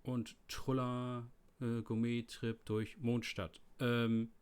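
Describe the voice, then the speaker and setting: A man's voice: mid-range voice, little pitch movement, takes it slowly, darkish tone, clear, resonant tone. A man giving casual, laid-back let's play commentary, thinking aloud as he goes.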